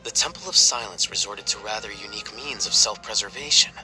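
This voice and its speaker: Man voicing a dry bored scholar, kinda low voice, wordy and detached. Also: fairly quick, music in the background, lightly acted. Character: aloof dry-witted scholar, young man. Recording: game voice line over score.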